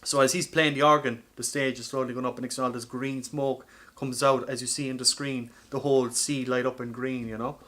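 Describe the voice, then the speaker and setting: A man hosting a casual comedy podcast, talking in an animated, teasing way with some swearing. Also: mid-range voice, fairly quick, few pauses. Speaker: podcast host.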